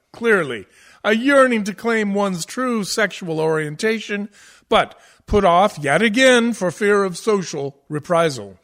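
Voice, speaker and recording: An actor playing a pompous, erudite scholar of musical theater who lectures pretentiously, in a comic radio play. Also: male voice, sits high, lively intonation, takes it slowly, a bit bright, full character voice. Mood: smugly lecturing.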